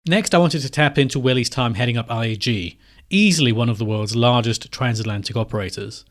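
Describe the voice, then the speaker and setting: A man's voice: medium pitch, a little fast, a bit bright. A man hosting a podcast, reading scripted narration and introductions. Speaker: podcast host.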